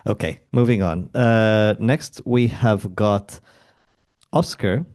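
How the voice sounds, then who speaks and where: A man's voice: lowish voice, slow pace, darkish tone. A man hosting a panel discussion, introducing guests casually and laughing often. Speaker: host.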